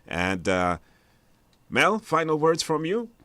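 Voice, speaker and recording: A man's voice: on the high side, huge pitch swings, slow, pushed and bright, no room sound. A man hosting a live radio phone-in, chatty and informal, often repeating his phrases. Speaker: radio show host. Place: radio studio.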